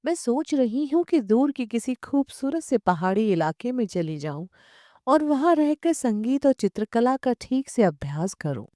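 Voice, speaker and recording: A woman narrator plays a restless, unmarried office worker worn down by routine. She speaks slowly and earnestly, brooding over her discontent, in a close, dry storybook recording. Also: a little high, a little mellow, no room sound.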